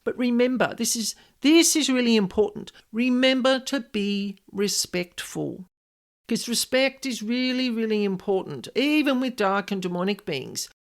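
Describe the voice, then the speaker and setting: A woman's voice: on the high side, lively intonation, measured pace. A woman hosting a podcast, telling personal stories and giving advice in an informal, emphatic monologue, repeating key words for stress. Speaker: podcast host, paranormal specialist.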